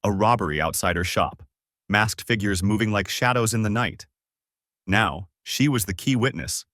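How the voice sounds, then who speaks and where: A man with a fairly low voice reads a dramatic story in a very dry, close recording. His delivery is solemn and builds suspense, like a scripted narrator telling a tense tale. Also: normal speed, a bit bright, no echo.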